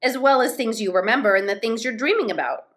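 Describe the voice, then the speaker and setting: A woman voicing a cheerful, matter-of-fact female storybook creature with a brisk, breezy manner. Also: a little high, voice pushed up, natural voice. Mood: cheerful, teasing.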